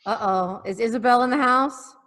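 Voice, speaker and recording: Female co-host, bright and forward tone, informal conversation on a video call. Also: on the high side, normal speed. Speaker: podcast co-host. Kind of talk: casual chat.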